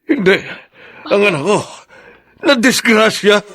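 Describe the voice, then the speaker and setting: Slow, rather high-voiced worried father. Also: animated, a bit bright. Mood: shocked. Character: worried middle-aged father of grown sons. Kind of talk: Filipino radio drama acting.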